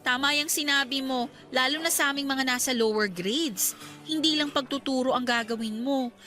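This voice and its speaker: Bright-voiced young Filipino woman teacher. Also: high voice, normal speed, soft background music. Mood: weary, candid. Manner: conversational, mixing Tagalog and English. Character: young woman schoolteacher being courted, candid and cautious. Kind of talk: Filipino radio drama dialogue.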